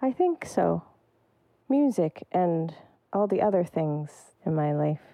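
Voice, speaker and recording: Soft-spoken woman with a warm, low voice. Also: big pitch swings, slow, no echo. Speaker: percussionist guest. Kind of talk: reflective interview answers.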